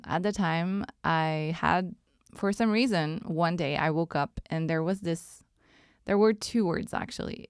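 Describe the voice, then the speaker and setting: Low, slow French Canadian woman. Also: clear, resonant tone, no echo, studio quality. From Montreal, Canada. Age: 30s. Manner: unhurried, musing, with many ums and soft laughs. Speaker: podcast host. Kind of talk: reflective solo podcast monologue.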